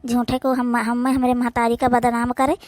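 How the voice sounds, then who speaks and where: Woman voicing a high-pitched, wary Awadhi village housewife. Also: little pitch movement, normal speed, darkish tone, no echo, studio quality, natural voice. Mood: resentful. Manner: complaining, plain-spoken. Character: wary young village housewife, indignant younger sister-in-law. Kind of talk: village cartoon dialogue.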